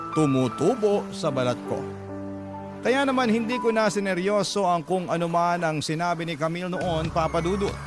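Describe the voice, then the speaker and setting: A male radio host with a slightly high voice. He tells a listener's story in the first person, dramatically, with loud music running behind him. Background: prominent background music.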